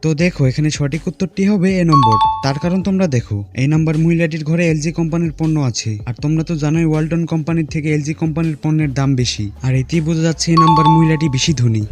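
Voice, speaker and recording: A man's voice: fairly high pitch, very fast, hardly any pauses, loud music behind the voice. A man narrating a scripted puzzle quiz as host, speaking directly to viewers.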